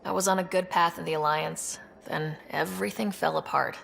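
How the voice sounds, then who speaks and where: A young woman leading explorers in a sci-fi video game. Her voice sits low with noticeable fry. She is understated and earnest, with faint ambience behind her. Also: steady tone, a little fast, fairly bright tone, slight background noise. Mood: wry, reflective. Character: young, new, resolute human explorer leader, female.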